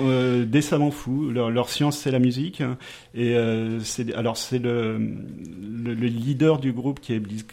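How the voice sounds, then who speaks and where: A man's voice: medium pitch, gravelly. A man giving a radio column in the studio, improvising with self-mocking humour, many hesitations and easy laughter.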